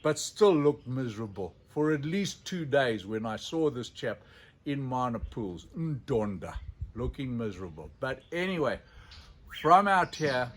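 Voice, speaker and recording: Guy doing storytime, medium pitch, dramatic pauses, really acts it out. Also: sing-song. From Zimbabwe. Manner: theatrical, with sound effects and dramatic pauses. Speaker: storyteller, sculptor presenting his own elephant figures. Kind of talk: animated unscripted storytelling.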